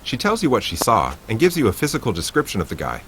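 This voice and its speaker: A man's voice: mid-range voice, huge pitch swings, fast, a little hiss or hum, low-quality recording. A man reading a text adventure game aloud with playful asides.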